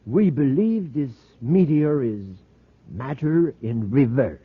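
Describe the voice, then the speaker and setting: An actor playing an earnest, excitable middle-aged professor in a 1950s radio drama, explaining things in a scholarly, slightly hesitant way. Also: male voice, mid-range voice, slow, husky, exaggerated voice. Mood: intense, explanatory. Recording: muffled tinny vintage radio recording.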